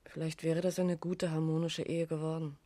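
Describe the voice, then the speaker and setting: Middle-aged woman in mourning actress, radio crime drama: halting, bitter, blaming herself. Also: deep voice, little pitch movement, mellow, natural voice. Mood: bitter sorrow. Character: grieving middle-aged woman questioned about her dead lover.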